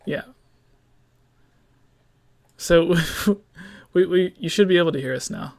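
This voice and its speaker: A man hosting a podcast over an online call, casual and a bit rushed as he sorts out the setup. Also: on the high side, gravelly. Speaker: podcast host.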